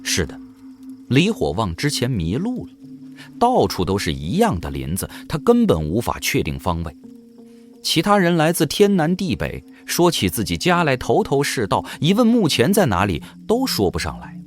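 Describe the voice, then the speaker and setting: A man's voice: medium pitch, huge pitch swings, normal speed, soft background music. A man narrating an audio drama in a dramatic, scripted storytelling style.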